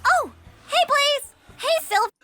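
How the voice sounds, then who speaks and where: A woman's voice: very high voice, expressive, slow pace, over music, heavily acted. A woman voicing a friendly, chipper young female tour guide in a comic dub.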